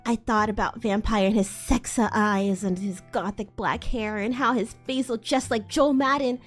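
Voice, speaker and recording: A woman's voice: medium pitch, steady tone, moderate pace. A woman streamer giving a theatrical, amused live dramatic reading of fan fiction. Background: faint music.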